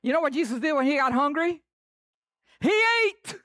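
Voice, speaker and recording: A male preacher with a Latin American Spanish accent delivers a lively sermon. His voice sits high for a man and sounds close and dry. He is playful and animated, swinging between loud, punchy emphasis and quiet, hushed asides. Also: moderate pace, no room sound. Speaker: pastor.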